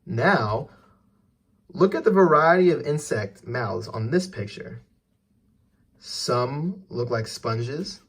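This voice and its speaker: Man, medium-pitched voice, teacher read-aloud, instructive, questions aimed at young listeners. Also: animated, slow pace, long pauses, slightly rough. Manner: didactic, questioning the listener directly. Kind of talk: educational read-aloud for children with asides and questions.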